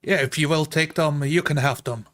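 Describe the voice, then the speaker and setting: A man's voice: a little high, moderate pace, a bit bright. A man playing a tabletop fantasy game, casually improvising his character's actions and lines in the first person. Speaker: tabletop roleplay player.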